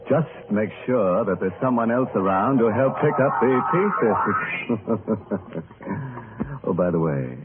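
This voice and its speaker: Macabre, gleeful 1940s radio horror host. Low register, sardonic drawl, muffled old broadcast with music. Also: male voice, expressive, heavy background noise. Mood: mock-sinister glee. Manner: sardonic, drawn-out, theatrical delivery. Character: ghoulish, gleeful, mock-sinister male host of a horror show. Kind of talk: 1940s radio horror hosting with ghoulish puns.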